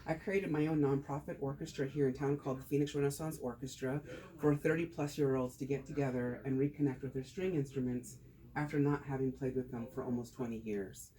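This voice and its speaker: A man's voice: medium pitch, fairly even intonation, a little fast, warm tone, rough recording. Joking man giving a tax talk. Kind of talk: casual group talk turning into a presentation.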